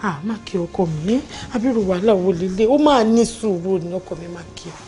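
A woman's voice: medium pitch, very fast, mellow, soft background music, natural voice. A middle-aged actress playing a mother who scolds and counsels her son-in-law. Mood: counselling. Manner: firm, scolding, switching Yoruba and English. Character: firm motherly Nigerian matron, stern counsel. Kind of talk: Nollywood drama acting.

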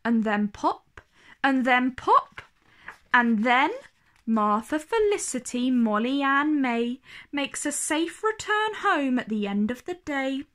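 A woman narrating a children's storybook aloud with expressive, deliberate phrasing. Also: high-pitched.

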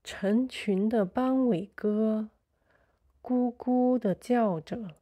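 Very slow, mellow woman guiding meditation. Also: on the high side. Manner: soothing, measured, short phrases with pauses. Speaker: guided meditation narrator. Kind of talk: slow sleep meditation narration.

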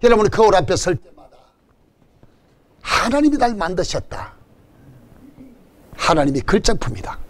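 An older man with a Gyeongsang accent preaching an animated sermon at a stand mic on a church stage, calling for amens and joking with the congregation. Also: high-pitched, normal speed, long pauses. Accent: Gyeongsang Korean. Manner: animated preaching with call-and-response and jokes. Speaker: pastor. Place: church stage before a banner. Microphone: stand mic in front.

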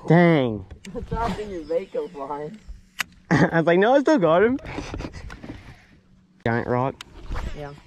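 A teenage boy chatting excitedly while bass fishing from a boat outdoors, recorded on a head-mounted camera. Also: slow, long pauses, slight background noise. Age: teens. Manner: excited, laughing, short remarks. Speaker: youth angler. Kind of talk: casual chat while fishing. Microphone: head-mounted action camera.

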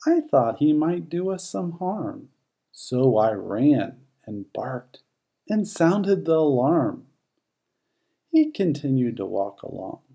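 A man narrates a children's picture book in rhyme. His voice is warm and relaxed with some creak at the edges. He takes his time, letting the pitch rise and fall expressively through each verse. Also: takes it slowly. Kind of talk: read-aloud rhyming picture book narration.

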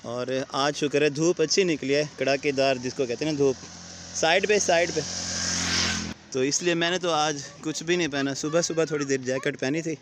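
A man's voice: fairly high pitch, fairly even intonation, normal speed, a little hiss or hum. A Pakistani man in his thirties with a Punjabi-region Urdu accent, talking to viewers in a casual village vlog while he walks outside with children and films himself on a phone. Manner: cheerful, chatty, often laughing and coaxing children. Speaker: village vlogger. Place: outdoors on a rural road by fields. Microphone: phone camera at arm's length.

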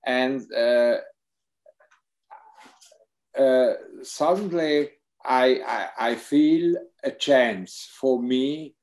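Casting: older German-accented man, very slow, dark mellow tone, hesitant speech, laptop mic at home. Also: medium pitch. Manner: halting, searching for English words. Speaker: psychotherapist and film subject. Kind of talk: Q&A on a video call. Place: home room with bookshelves. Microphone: laptop webcam mic on video call.

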